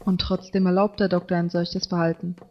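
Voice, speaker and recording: A woman's voice: lowish voice, little pitch movement, brisk, lightly acted. A woman voicing an earnest, fussy teenage boy obsessed with order in a German fan-dubbed anime audio drama. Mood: pondering. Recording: dull lo-fi audio with music.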